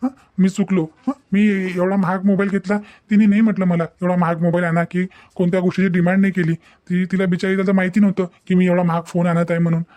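A man plays an earnest, apologetic young husband in a cartoon family story. His voice sits high yet has a mellow, muted tone, and he pleads over and over. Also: little pitch movement, quick pace, lightly acted. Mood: remorseful. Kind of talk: cartoon domestic story dialogue.

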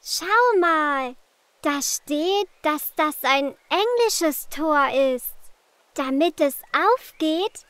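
A woman's voice: high-pitched, animated, a bit bright, no room sound, exaggerated voice. A woman voicing a cheerful little girl adventurer in a preschool cartoon, speaking simply and encouragingly to young viewers. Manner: slow, simple, encouraging delivery.

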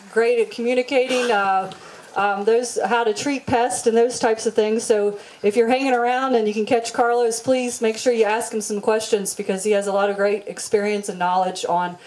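A woman acting as emcee, making casual announcements and introducing the next speaker in a friendly, halting way with many fillers. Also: mid-range voice, a little mellow, a little hiss or hum, low-quality recording. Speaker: event organizer and emcee. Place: large open tent outdoors.

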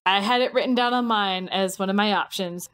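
A woman's voice: mid-range voice, brisk, fairly bright tone, smooth, no echo. A woman chatting casually as a podcast co-host. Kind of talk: casual chat.

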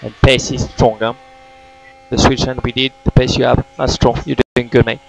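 A man's voice: medium pitch, flat delivery, normal speed, gravelly. A man working as a race engineer, giving terse, repeated instructions in a clipped manner over team radio. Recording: noisy motorsport team radio.

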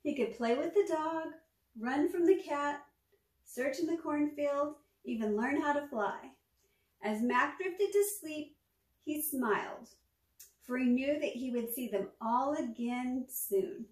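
A woman in her forties reading a children's picture book aloud, with measured, expressive storytelling. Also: high-pitched. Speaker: storytime reader, former teacher. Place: small furnished room at home, indoors. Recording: echoey room, distant microphone.

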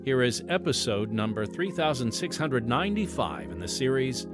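Animated American male radio announcer. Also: mid-range voice, normal speed, over music. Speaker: host and announcer. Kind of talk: scripted radio drama narration.

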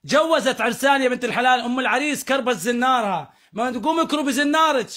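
A man's voice: very high voice, fast talker, pushed and bright. A man, chatting and teasing on a live video call, breaking into bits of songs. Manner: teasing, bursts into sung snatches of songs. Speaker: live stream co-host. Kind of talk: casual live video chat. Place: small room indoors. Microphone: earphone mic on a video call.

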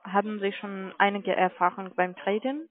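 A woman's voice: mid-range voice, moderate pace. Formal woman on a narrow phone line. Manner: formal, polite but firm. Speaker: call centre sales agent. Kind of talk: sales phone call.

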